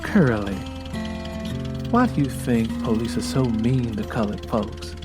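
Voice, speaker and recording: A man's voice: mid-range voice, animated, measured pace, over music, natural voice. A man voicing a weary, irritable young woman who grumbles and questions in an audio drama. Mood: resigned, questioning. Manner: plain questioning, drowsy and grumbling.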